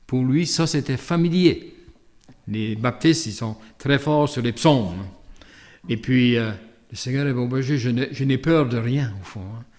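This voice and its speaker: Canadian French priest giving a sermon. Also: male voice, mid-range voice, unhurried, darkish tone. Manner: reflective, storytelling delivery with hesitations and asides. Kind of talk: gospel reading and conversational sermon with anecdote.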